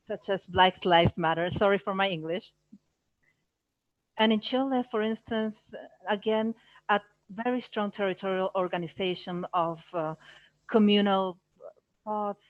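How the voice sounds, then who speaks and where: Dull dry audio, halting woman interpreter. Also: medium pitch, dry recording. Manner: halting, phrase by phrase, self-correcting. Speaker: live interpreter voicing panelists. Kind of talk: live simultaneous interpretation of a panel discussion.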